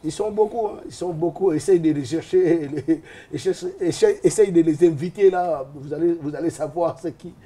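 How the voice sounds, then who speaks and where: A man's voice: on the high side, moderate pace, hardly any pauses, dark tone, slightly rough. A man born in Liège, talking as a guest in a casual interview, rambling and hesitant with many fillers. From Liège, Belgium.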